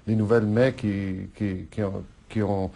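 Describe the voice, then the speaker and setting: A middle-aged man whose first language is Italian, answering interview questions in a halting way with many fillers and restarts, laughing often. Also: lowish voice, steady tone, vocal fry, warm tone. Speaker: politician interviewee.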